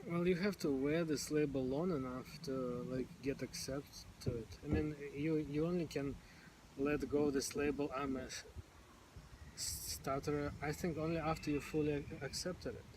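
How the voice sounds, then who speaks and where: A man's voice: a little high, fairly even intonation, normal speed, noisy background. A man in casual conversation who now and then repeats sounds when stuck on words. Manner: occasional stuttered repetitions, informal phrasing. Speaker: stammering support group member.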